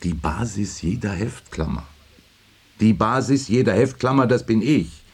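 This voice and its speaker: A domineering working-class husband in his forties in a radio drama. His voice is low and husky, and he broods and needles with suspicious, bitter questions. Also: animated, measured pace, a little mellow, heavily acted. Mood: brooding, low. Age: 40s. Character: bitter, domineering, suspicious forty-year-old factory worker husband. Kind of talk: German radio play acting.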